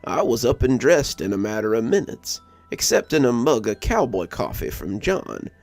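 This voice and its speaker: A man's voice: mid-range voice, big pitch swings, moderate pace, vocal fry, a little mellow, dry recording. A man reading a scripted story as a narrator. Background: music under the voice.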